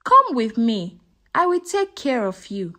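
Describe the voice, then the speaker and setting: A woman storyteller plays a scheming madam from a folktale. She coaxes with false kindness and quiet menace, in a fairly high voice with a very lively melody. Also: a little slow, heavily acted. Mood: falsely concerned. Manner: coaxing, menacing. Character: scheming, manipulative village madam, falsely kind.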